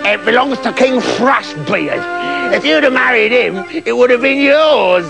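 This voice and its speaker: A man's voice: very high-pitched, animated, a little slow, vocal fry, over music, voice pushed up, heavily acted. A man voicing a poor, dirty beggar with a cheeky, needling manner, in comic fairy-tale storytelling. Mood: blunt.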